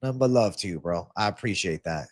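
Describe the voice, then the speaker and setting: A man's voice: low voice, lively intonation, fast. Laid-back, congested man with a stuffy nose. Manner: laid-back, slangy, swears freely, calls viewers bro. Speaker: livestream host reading viewer comments. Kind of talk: casual livestream Q&A on fight betting.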